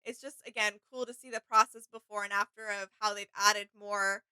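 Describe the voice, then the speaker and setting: A woman's voice: mid-range voice, fairly even intonation, brisk, bright, forceful tone, clean tone, no room sound, rough recording. A woman co-hosting a fan reaction show, chatting casually in an agreeable, appreciative way.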